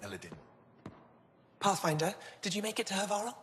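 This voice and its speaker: An actress voicing an earnest alien antiquities scholar in a video game, full of wonder. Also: female voice, mid-range voice, very animated, brisk, a little hiss or hum, lightly acted. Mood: awed, grateful. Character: awed, grateful female alien antiquities scholar. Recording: long hall-like reverb.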